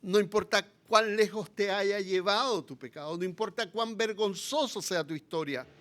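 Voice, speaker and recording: A man with a Chilean accent, preaching a sermon as pastor, swinging between loud, impassioned appeals and quiet, low passages. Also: normal speed.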